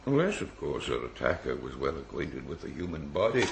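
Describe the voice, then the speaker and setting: An actor playing a dry, pedantic forensic pathologist in a radio drama, speaking in a precise, deliberate, expository way. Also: male voice, a bass voice, sing-song, gravelly, exaggerated voice. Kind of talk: naturalistic radio drama acting. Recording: roomy echo, faint background noise.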